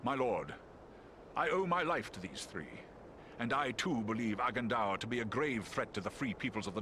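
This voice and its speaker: Noble eagle scout for a fantasy game, male actor: earnest, stately, expressive melody, with clear background noise behind. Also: mid-range voice, natural voice. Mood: earnest pleading. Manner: formal, stately diction. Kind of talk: scripted fantasy game dialogue.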